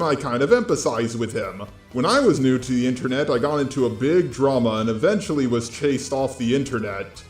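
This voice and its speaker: A man hosts a scripted commentary video in an informal, blunt style, addressing his audience directly. His medium-pitched voice has a roomy echo, and music plays faintly beneath it. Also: a little mellow. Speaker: host of online drama commentary videos.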